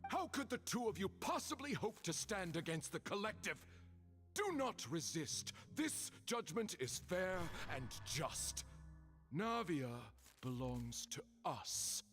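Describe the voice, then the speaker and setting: A man's voice: high-pitched, big pitch swings, takes it slowly, fairly bright tone, faint music behind, exaggerated voice. A man voicing a solemn, zealous spokesman for a collective spirit in a video game, with a pompous, declamatory delivery. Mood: stern, insistent. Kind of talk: theatrical video game voice acting.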